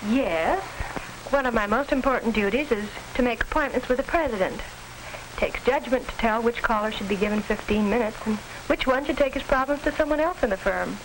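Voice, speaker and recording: An American actress playing a poised, tactful head secretary in a 1940s instructional film, formal and businesslike. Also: female voice, a little high, fairly quick, natural voice. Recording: crackly 1940s film soundtrack.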